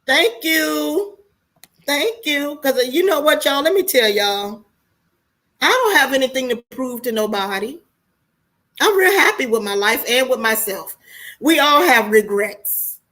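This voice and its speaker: A woman's voice: sits high, animated, a little slow, a bit bright. An American woman of forty-eight talking casually to her online viewers, candid and preachy, in loose colloquial speech with free swearing. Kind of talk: casual livestream monologue.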